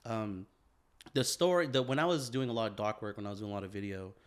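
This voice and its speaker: A man's voice: on the low side, expressive, quick pace, clear, resonant tone. A man co-hosting a casual podcast chat, rambling with lots of fillers and restarts, speeding up when he gets going. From San Francisco Bay Area. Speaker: podcast co-host, photographer.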